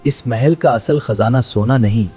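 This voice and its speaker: A man voicing a wise talking owl, the solemn guardian of a palace, speaking in a measured, instructive way for a children's cartoon. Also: mid-range voice, dark tone, lightly acted. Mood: solemn, welcoming. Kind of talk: children's moral cartoon story acting. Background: music.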